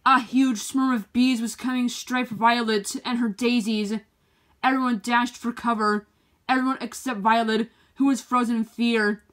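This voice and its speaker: A woman with a fairly high voice reads a children's story aloud. Her delivery is expressive, clearly shaped for storytelling. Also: moderate pace. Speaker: storybook narrator. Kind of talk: children's read-aloud narration.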